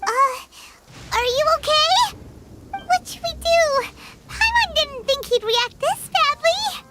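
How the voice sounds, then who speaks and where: A woman's voice: very high-pitched, expressive, takes it slowly, heavily acted. A woman voicing a tiny, perky, childlike fairy companion in a video game. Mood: worried. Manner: perky, speaks in third person. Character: tiny chirpy childlike floating fairy companion, talks about herself by name. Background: game music.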